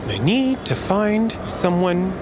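A man's voice: high voice, big pitch swings, slow, noisy background, exaggerated voice. A man playing a cocky, dramatic and flustered young space traveler stranded on Earth in an audio drama, theatrical and exasperated. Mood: flustered bravado. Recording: music under the voice.

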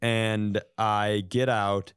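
Man, casual comic storyteller, podcast co-host, crisp tone, dry sound, animated, self-mocking. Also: on the low side, drawn-out words, no echo. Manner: animated, self-deprecating, acts out quotes. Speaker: podcast co-host telling stories.

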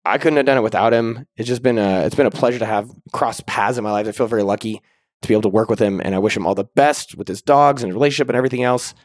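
A man hosting a podcast, upbeat and slangy, with a slightly raspy voice. He chats casually and closes the show with shout-outs. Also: on the low side, rapid-fire, no room sound, clean studio sound. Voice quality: a little raspy. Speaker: podcast host. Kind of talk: casual podcast chat and outro.

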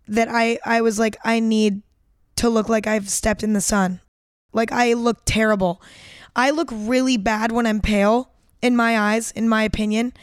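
A talkative young woman on the edge of nineteen, rambling and self-deprecating as she hosts her own podcast. Her slightly high voice is smooth and clear despite a hoarse, cracking edge. The recording is dry and close. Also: no room sound. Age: late teens. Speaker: podcast host. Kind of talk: casual solo podcast chat.

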